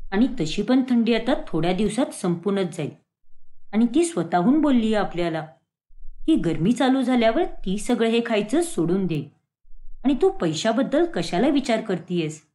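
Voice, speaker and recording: A placating young husband from a cartoon family story, voiced by a woman. He reasons and soothes, with an expressive, varied melody. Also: mid-range voice, voice pushed down, natural voice. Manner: reasoning, pacifying. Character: placating adult son and husband, young man. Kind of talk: animated moral story dialogue.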